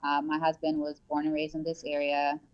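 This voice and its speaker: A woman's voice: low-pitched, monotone, fairly quick, smooth, highs cut off. A woman from Brazil, a real estate agent and guest, chatting with many fillers and restarts over a remote call. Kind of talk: casual remote interview. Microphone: headphones on a remote call.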